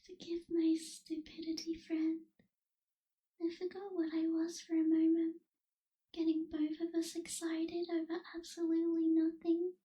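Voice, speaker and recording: A woman playing a melancholy young vampire in an ASMR roleplay, speaking in a soft, breathy half-whisper with wistful, formal phrasing. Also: extremely high pitch, monotone. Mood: sad, resigned. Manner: slow, wistful, hushed, formal wording. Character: melancholy young female vampire, gentle reluctant captor.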